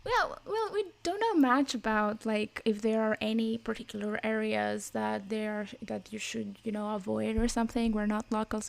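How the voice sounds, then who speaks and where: A woman's voice: on the high side, vocal fry, a little mellow. A young adult woman with a Greek accent, co-hosting a casual podcast chat and often restarting her sentences as she talks. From Greece. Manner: chatty, with frequent restarts. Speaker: podcast co-host.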